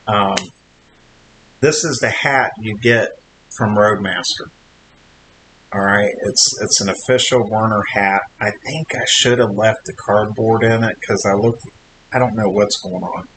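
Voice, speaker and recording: A man with a nasal, slightly low voice and a drawl talks loosely to his camera, circling back and restarting his sentences as he goes. He is recording in a hotel room, and a steady hiss sits under his voice. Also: rough recording. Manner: rambling, chatty, frequent restarts and fillers. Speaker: vlogger, trainee truck driver. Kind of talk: casual vlog talk to camera.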